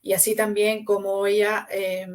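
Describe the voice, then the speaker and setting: A woman's voice: mid-range voice, little pitch movement, measured pace, a little mellow. A woman, a book author, answering in an interview with earnest, flowing storytelling and frequent restarts.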